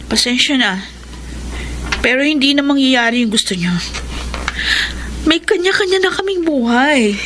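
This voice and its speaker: An actress playing a defiant, unrepentant grown daughter in a Filipino radio drama, with a Filipino accent. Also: female voice, sits high, lively intonation, measured pace, pauses often, fairly bright tone, a little hiss or hum, low-quality recording. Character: defiant adult daughter Nitz, unrepentant adulterous wife.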